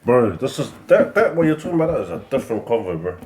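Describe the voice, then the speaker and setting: A man's voice: medium pitch, very animated, quick pace, a little mellow, a little hiss or hum. A young man, a rapper guest, chatting casually and laughing as he acts out relatives' voices. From Pacific Islands. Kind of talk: casual chat.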